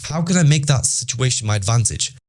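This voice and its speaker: A man's voice: mid-range voice, lively intonation, fairly quick, a little mellow, soft background music. A young British man with a British accent, giving a casual motivational talk to teenagers, emphatic and repetitive. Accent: British English. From Britain. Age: young adult. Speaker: podcast host.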